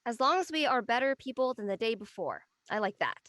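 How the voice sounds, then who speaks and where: A woman's voice: a little high, sing-song, fairly quick, a bit bright. A woman playing a curious teenage friend, casual and questioning, in a drama read.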